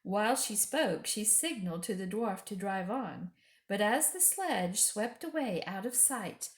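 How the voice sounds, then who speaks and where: A woman's voice: medium pitch, lively intonation, measured pace. A woman storyteller expressively reading a children's storybook aloud. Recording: echoey room.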